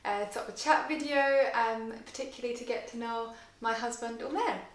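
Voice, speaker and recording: A woman's voice: a little high, moderate pace. A young adult woman asking her husband questions in a friendly, chatty way. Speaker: interviewer. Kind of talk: casual chat. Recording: echoey room.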